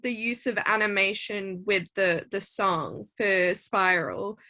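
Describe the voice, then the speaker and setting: A woman's voice: medium pitch, takes it slowly, bright, forceful tone. A woman hosting a casual interview, chatty and encouraging, with lots of "like"s as she asks her questions. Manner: chatty, many "like"s, eager and encouraging. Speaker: interviewer, show host.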